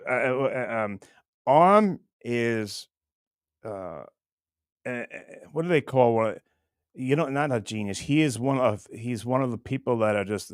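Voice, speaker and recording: A man hosting a casual livestream, rambling and halting as he chats with viewers in a crude, jokey, profane way. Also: mid-range voice, clear, resonant tone, no echo, studio quality. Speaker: livestream host.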